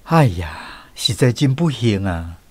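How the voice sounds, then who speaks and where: A man's voice: medium pitch, very animated, a little slow, slightly rough, pitched below the performer's own voice. A man playing an upright, authoritative village headman with a Taiwanese accent, scolding and emphatic. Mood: concerned, surprised.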